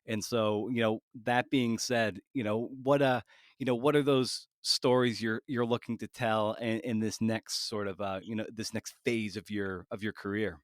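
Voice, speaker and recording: A man interviews someone in a casual, conversational way. His voice sits on the low side and sounds dry and close, and he feels his way haltingly through long questions. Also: steady tone, no echo. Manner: halting, rambling, searching for phrasing. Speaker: podcast host interviewer.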